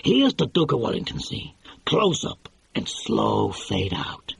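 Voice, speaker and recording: A man's voice: lowish voice, huge pitch swings, takes it slowly, gravelly, breathy edge, dry recording, voice pushed down, full character voice. A man reading an audiobook and voicing a brash, pushy middle-aged movie mogul who talks in slang. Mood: boastful, excited. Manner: slangy, pushy, exclamatory, keeps saying "see". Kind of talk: audiobook character voice.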